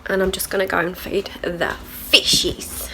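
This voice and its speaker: A woman's voice: on the low side, level tone, normal speed, pushed and bright, slight background noise, low-quality recording. A woman, chatting casually in a video diary at home with a stuffy nose from a cold, rambling with lots of "like" and "so yeah". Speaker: vlogger. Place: at home and in the garden.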